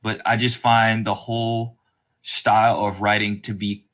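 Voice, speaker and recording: A man's voice: on the low side, level tone, measured pace, fairly bright tone. A man hosting a podcast interview in a casual, conversational and slangy way. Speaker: podcast host.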